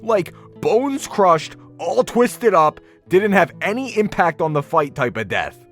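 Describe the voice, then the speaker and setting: Guy doing a snarky casual review voiceover, really lively pitch swings, music playing underneath. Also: takes it slowly. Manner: informal, sarcastic, opinionated, swears casually. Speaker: video reviewer and host. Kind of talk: semi-scripted video essay commentary, casual. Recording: music behind the voice. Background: background music.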